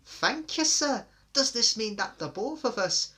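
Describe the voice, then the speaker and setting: A man voicing eager twin engines who speak up high and thankful. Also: expressive, drawn-out words, bright, forceful tone, slight background noise, heavily acted. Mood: excited grateful.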